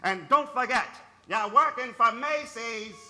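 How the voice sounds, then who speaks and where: An actor playing a fussy, enthusiastic department store manager in a radio play, brisk and officious. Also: male voice, extremely high pitch, animated.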